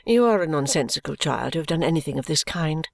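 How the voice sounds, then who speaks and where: A serene, self-absorbed middle-aged mother brushes off her daughter in a clear, complacent voice, as a woman reading a novel puts her on. She speaks low and unhurried, and the recording is dry and close. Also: no room sound, heavily acted. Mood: weary brisk. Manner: calm, clear, complacent and coolly dismissive.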